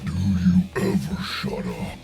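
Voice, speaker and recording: Casting: huge masked game bruiser, very low croaking gravel, slow terse grumbles, weary menace. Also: male voice, lively intonation, warm tone, faint music behind, full character voice. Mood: irritated, fed up. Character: huge menacing masked male outlaw bodyguard, gruff, weary. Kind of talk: video game character voice acting.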